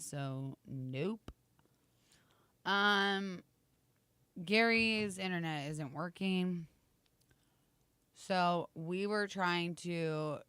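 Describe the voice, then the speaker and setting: A Midwestern American woman co-hosting a morning show, her voice raspy and a little low. She chats casually and teases over a video call, and the sound is dry and close. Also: no echo. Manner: teasing, chatty, animated with guests. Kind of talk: casual chat.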